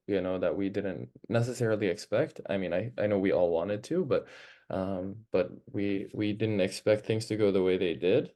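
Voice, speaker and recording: A young man, a student activist, speaking informally at an online gathering in a halting way, often circling back to rephrase. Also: fairly low pitch, dark tone. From northern Palestine. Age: young adult. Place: online video call.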